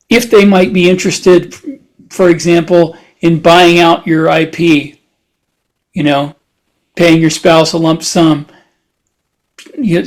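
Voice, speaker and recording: A man co-hosting a casual podcast, giving practical advice in a measured, conversational way. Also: fairly high pitch. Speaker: podcast co-host.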